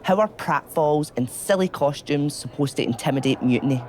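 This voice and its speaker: A woman's voice: sits low, normal speed, a little mellow, slight background noise, lightly acted. A woman voicing a cool, disapproving member of a witch coven in a wrestling video game, dry and sceptical. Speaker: voice actor in a video game cutscene.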